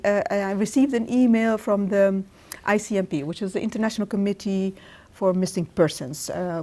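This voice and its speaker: A woman's voice: on the low side, mellow. A middle-aged woman professor from Tunisia, answering interview questions thoughtfully with frequent restarts. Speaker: guest professor.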